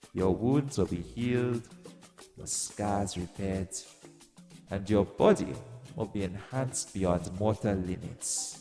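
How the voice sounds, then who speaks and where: In a vast reverberant space, a man performs a cruel male god of vengeance with a gravelly, creaking voice. He speaks very slowly and grandly, bargaining with menace in theatrical audio-drama style. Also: on the low side, warm tone. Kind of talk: theatrical audio roleplay monologue. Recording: long cavernous reverb. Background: music.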